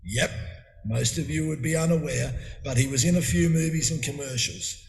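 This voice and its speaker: A man's voice: fairly high pitch, vocal fry, slight background noise. Man giving a eulogy in an echoey hall. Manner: conversational, halting with fillers and restarts. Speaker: eulogy speaker. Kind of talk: funeral eulogy. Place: memorial hall. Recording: echoey hall with long reverb.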